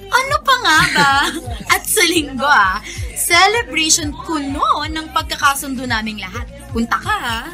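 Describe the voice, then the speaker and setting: A woman playing a young, smug, scheming hacienda woman who feigns sweetness in a Filipino radio drama, with animated, gleeful delivery. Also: sits high, measured pace, faint music behind, heavily acted. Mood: smug, gloating.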